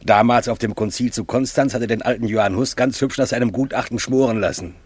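An actor as a husky, cynical cleric. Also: male voice, mid-range voice, steady tone, fast talker, a little mellow, no echo, natural voice. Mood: sardonic. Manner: sardonic. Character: cynical, worldly male cleric, slyly amused. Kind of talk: classic German radio drama dialogue.

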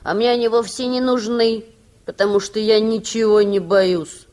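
A woman's voice: a little high, fairly even intonation, normal speed, a little mellow, natural voice. A woman playing a frightened schoolboy who blusters that he fears nothing, in a radio play. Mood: defensive bluster. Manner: defensive, blustering. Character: frightened fat schoolboy pretending to be fearless. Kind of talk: Soviet radio play acting.

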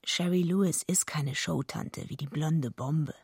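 An actress playing a blunt, defiant, streetwise young female wrestler with a husky, gravelly voice in a radio drama. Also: low voice, a little slow, darkish tone, no echo, heavily acted. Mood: wry, narrating. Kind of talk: radio drama acting and first-person narration.

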